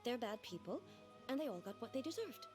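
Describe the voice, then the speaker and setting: A woman voicing a composed, formal female ruler who speaks in measured sentences. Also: on the high side, big pitch swings. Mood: stately. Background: game music.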